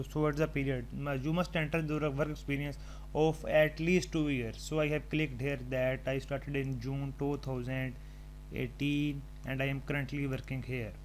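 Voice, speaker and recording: A man presenting an unscripted step-by-step tutorial, haltingly thinking aloud as he types. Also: medium pitch, rough recording. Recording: home recording with clear background noise.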